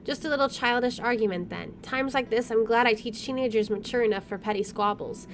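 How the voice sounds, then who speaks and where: A woman's voice: on the high side, fairly quick, slight background noise. A woman hosting a gaming video, reading visual novel narration aloud with casual asides.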